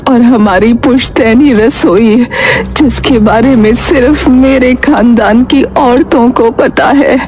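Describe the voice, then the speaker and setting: Grave, high-pitched dying old grandmother, acted by a woman. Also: a little mellow. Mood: secretive, urgent. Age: older. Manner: halting, repeats phrases ominously. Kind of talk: dramatic cartoon story voice acting. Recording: music behind the voice.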